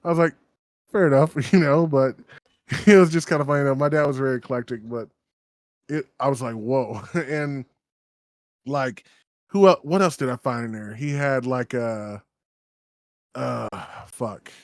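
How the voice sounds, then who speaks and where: A man's voice: medium pitch, unhurried, pauses often, no echo, clean studio sound. A man co-hosting a podcast, rambling through stories with lots of fillers and swearing. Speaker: podcast co-host. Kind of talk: casual chat.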